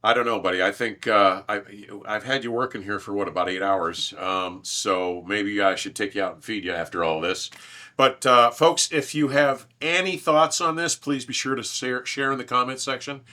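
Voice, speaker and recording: A man's voice: on the low side, normal speed, sharp tone. A man in casual, enthusiastic conversation as an audio reviewer hosting a show, speaking emphatically and with strong opinions. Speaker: host, audio equipment reviewer. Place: large, minimally treated listening room.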